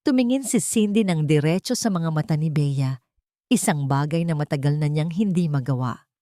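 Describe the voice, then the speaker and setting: A woman's voice: deep voice, moderate pace, dark tone, no echo, studio quality. A woman narrating a scripted dramatic story.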